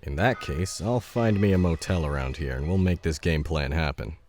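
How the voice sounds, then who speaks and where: A man playing a big, gruff but supportive ex-military trainer in an audio drama. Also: rumbling low, normal speed, darkish tone. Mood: serious. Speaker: military veteran and coach. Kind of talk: audio fiction dialogue.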